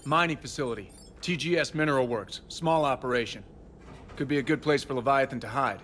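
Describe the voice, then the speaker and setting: A man's voice: mid-range voice, brisk, a bit bright, slight background noise, natural voice. A man playing a businesslike military shuttle pilot in a sci-fi video game, giving terse reports. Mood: focused.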